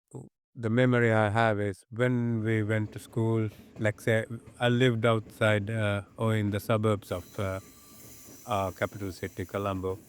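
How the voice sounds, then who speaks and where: A man in his fifties with a Sri Lankan accent, reminiscing in an interview and often pausing mid-phrase to find his words. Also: on the low side, clean tone, no room sound, studio quality. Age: 50s.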